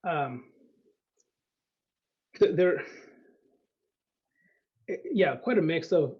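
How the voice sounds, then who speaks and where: A man speaking as an academic guest in an unscripted discussion, thinking aloud in a hesitant, halting way. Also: high voice, dull audio.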